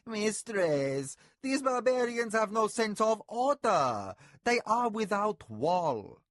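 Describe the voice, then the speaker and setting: A man's voice: a little high, big pitch swings, takes it slowly, a bit bright, slightly rough, no echo, studio quality, pitched above the performer's own voice, full character voice. A man voicing an anxious male overseer who pleads and protests in a whining voice. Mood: pleading. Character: anxious male overseer servant, fawning and aggrieved.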